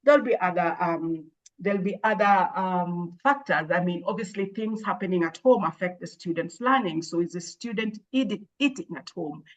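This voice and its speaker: Woman explaining in measured tones. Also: on the low side, rough recording. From Kenya. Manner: measured, thoughtful, with many hesitations and restarts. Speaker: education expert guest. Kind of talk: explaining in an interview.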